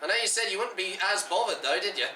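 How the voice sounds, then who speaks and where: A young man watching a football match at home with his dad, reacting live and shouting at big moments. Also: sits high, expressive, fast. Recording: echoey room, distant mic, faint background noise.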